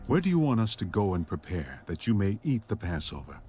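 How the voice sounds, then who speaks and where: An earnest disciple in an audio Bible drama. The voice is very deep and lively in melody, with faint ambient noise behind it. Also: male voice, a little fast. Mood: inquiring. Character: earnest male disciple questioning his master.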